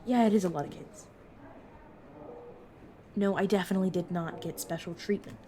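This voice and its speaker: A woman playing a brisk, protective vampire mentor in an audio roleplay, talking casually and teasingly to a new fledgling. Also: lowish voice, warm tone, a little hiss or hum, lightly acted. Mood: reassuring, wry. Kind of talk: narrative audio roleplay, addressed to the listener.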